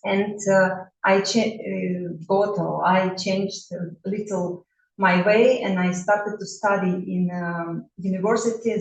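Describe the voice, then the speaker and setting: A woman in her forties from Russia, a bodywork therapist introducing herself in halting non-native English with a Russian accent, full of fillers and restarts. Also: medium pitch. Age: 40s. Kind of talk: self-introduction talk. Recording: roomy echo, mic at a distance.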